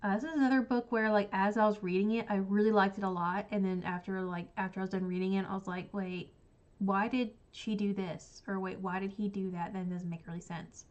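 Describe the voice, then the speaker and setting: A woman's voice: mid-range voice, fairly even intonation, a little fast, dull audio. Chatty woman with a cold. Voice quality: sniffly. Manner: rambling, chatty, full of likes and ums. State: congested from a cold. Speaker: book channel host. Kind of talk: casual livestream chat.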